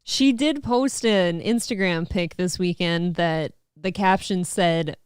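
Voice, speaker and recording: Smooth-voiced teasing female co-host. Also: lowish voice, takes it slowly, no room sound, clean studio sound. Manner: teasing, playful asides. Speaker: radio show co-host. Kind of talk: casual chat.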